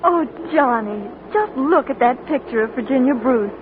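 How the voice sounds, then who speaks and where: A woman's voice: high-pitched, expressive, moderate pace, phone-quality sound, pitched above the performer's own voice. A woman putting on a sweet, coy young girlfriend in a 1940s radio commercial. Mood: gushing. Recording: hissy, thin old broadcast.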